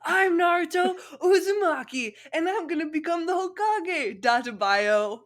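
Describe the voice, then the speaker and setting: Rowdy drunk young male ninja female reader acting, high and loud, exuberant slurred delivery, amateur anime reading. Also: expressive, measured pace, noisy background. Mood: defiant boasting.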